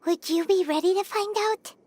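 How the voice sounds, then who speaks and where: A woman voicing a tiny childlike fairy companion in a fantasy game, excitable and pushy, with a squeaky, blunt way of talking. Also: moderate pace, slight background noise. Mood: pleading, upset. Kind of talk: fantasy video game voice acting.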